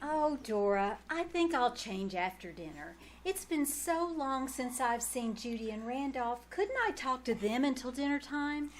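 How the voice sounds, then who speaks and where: A woman's voice: high voice, animated, measured pace, hardly any pauses. A woman playing a fussy, puzzled, good-natured aunt with flustered, folksy delivery in a 1940s-style radio comedy. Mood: mild. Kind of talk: 1940s radio comedy acting before live audience. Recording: noisy live stage recording.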